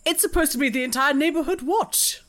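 A woman's voice: high voice, a little fast, fairly bright tone. A woman playing a tabletop game, improvising playful role-play as one of the players.

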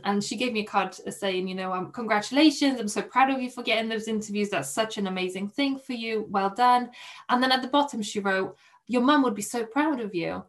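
A young Polish woman, a podcast guest, telling a personal story in a soft, hesitant way with many restarts. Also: mid-range voice. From Poland.

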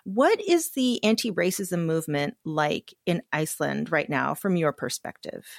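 A woman in her forties hosting a podcast interview, telling stories in an animated, engaged way. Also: sits low, normal speed, creaky, no room sound, clean studio sound. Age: 40s. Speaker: podcast host. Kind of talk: conversational interview.

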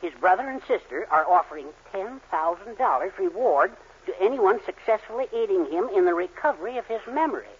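A man's voice: high voice, lively intonation, a little fast, slightly rough, full character voice. A henpecked, sarcastic father. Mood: dry henpecked. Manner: meek, dryly sarcastic. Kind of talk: 1940s radio sitcom acting. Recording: dull 1940s radio broadcast recording with faint hiss.

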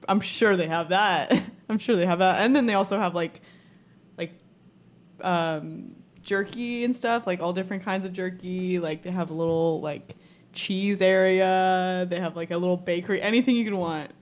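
A woman's voice: on the low side, moderate pace. A woman from Texas with a Texan accent, co-hosting a casual chat, chatty, with many fillers and frequent laughter. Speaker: podcast co-host.

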